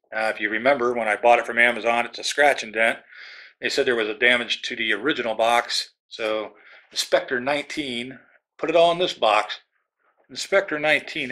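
A man's voice: medium pitch, pushed and bright. A middle-aged man hosting a casual product review, chatty and rambling with jokey asides. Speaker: channel host.

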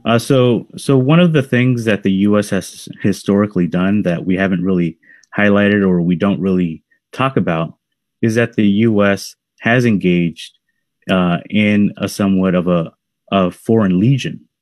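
A man in his thirties, a researcher on a panel, answering in a measured way with fillers over a video call. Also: on the low side, darkish tone, no room sound. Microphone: video call with earphones.

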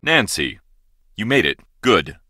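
A brusque lab director from a mystery game, barking orders in a very low but animated voice. His tone is bright and forceful. The recording is dry and close. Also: slow, no echo, full character voice. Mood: brisk. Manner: brusque, bossy. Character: stern male lab director, curt and impatient. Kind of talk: video game voice acting.

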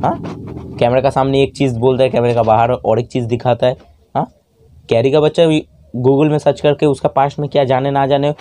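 A man's voice: medium pitch, warm tone. A young man doing a brash, taunting roast commentary to camera, full of swearing. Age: young adult. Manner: brash, taunting, foul-mouthed. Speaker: YouTube roast video host.

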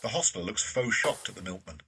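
A man's voice: on the low side, animated, brisk, recorded from a distance, noisy background. A man narrating an audio drama from a script.

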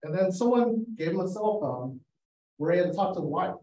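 A man's voice: sits high, lively intonation, a little fast, warm tone. Roomy, distant man telling stories. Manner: anecdotal, acts out quotes. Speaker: guest speaker, photographer. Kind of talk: storytelling slideshow presentation. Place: indoors on a video call. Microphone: video call, away from mic. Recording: roomy, distant-mic video call sound.

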